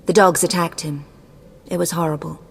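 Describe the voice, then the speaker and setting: Haughty sorceress in echoing hall. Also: female voice, fairly low pitch, steady tone, normal speed, gravelly, slightly rough. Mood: cool, evasive. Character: haughty, evasive, scheming sorceress. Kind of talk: video game dialogue acting. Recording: long echoing hall reverb.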